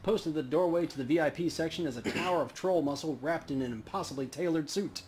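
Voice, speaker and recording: Slightly high-voiced man narrating a game. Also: fast, a little mellow, a little hiss or hum. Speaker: let's play host. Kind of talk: casual game commentary, reading game text aloud.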